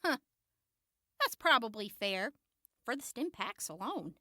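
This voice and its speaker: Woman playing a high, expressive wasteland traveler. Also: a little slow, no room sound, studio quality, heavily acted. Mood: curious, wary. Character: exasperated, careful female wasteland traveler haggling over supplies. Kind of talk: post-apocalyptic audio drama acting.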